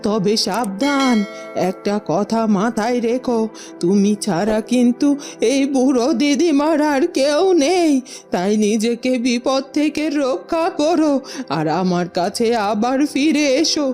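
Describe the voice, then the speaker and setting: A caring old grandmother from a cartoon, with a fairly high voice. She speaks solemnly and tenderly, with dramatic feeling over soft music. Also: animated, a little slow. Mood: solemn blessing.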